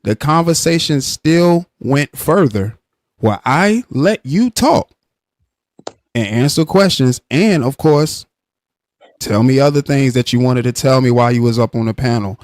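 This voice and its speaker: A man's voice: mid-range voice, lively intonation, measured pace, darkish tone, no room sound. Man, stream host, blunt, repetitive, insistent, dry mic. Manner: blunt, insistent, repeats himself. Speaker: livestream host. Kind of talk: live stream commentary and argument.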